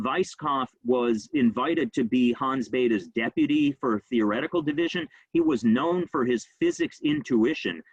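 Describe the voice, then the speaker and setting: A man giving an informal history talk, speaking quickly and conversationally with frequent ums and uhs. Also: mid-range voice, breathy edge, no echo, low-quality recording. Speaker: rabbi giving a history lecture.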